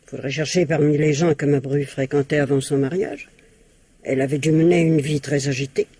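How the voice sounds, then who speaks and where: A woman's voice: low voice, steady tone, brisk, mellow, slightly rough, dry recording. An actress playing a spiteful, scheming older mother-in-law in an old-fashioned radio mystery, prim, evasive and defensive. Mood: sly, disdainful.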